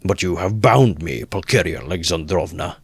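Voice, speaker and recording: Male reader as pompous gentleman suitor, storybook, low pitch, self-righteous, emphatic, affronted, very dry sound. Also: normal speed, slightly rough, no room sound. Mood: frenzied protest.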